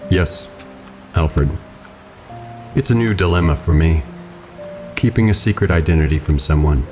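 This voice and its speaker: An actor playing a grave, guarded vigilante hero in an audio drama, speaking in a serious, restrained manner. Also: male voice, sits low, moderate pace, darkish tone, faint music behind, natural voice. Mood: stern, brooding.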